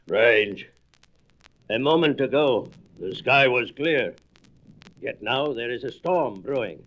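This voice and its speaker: An actor playing an arrogant, boastful alien invader with deliberate speech in a comic book audio drama. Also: male voice, mid-range voice, lively intonation, a bit bright. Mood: puzzled, alert. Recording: dull old record with faint noise, clicks.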